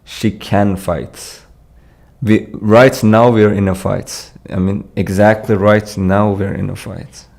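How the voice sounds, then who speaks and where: A man's voice: fairly low pitch, flat delivery, takes it slowly, creaky, darkish tone. A young man from Iran, live streaming at a computer, casually explaining contest solutions and reading chat with frequent restarts. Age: young adult. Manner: casual, hesitant, restarts sentences, laughs while reading chat.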